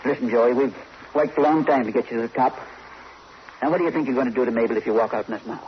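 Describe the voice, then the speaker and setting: A man acting an older fight manager, gruff but fatherly. He talks very fast and urgently in an old radio drama. The recording is muffled, with faint background noise. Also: medium pitch, lightly acted. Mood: coaxing. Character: protective older male boxing manager, coaxing and gruff.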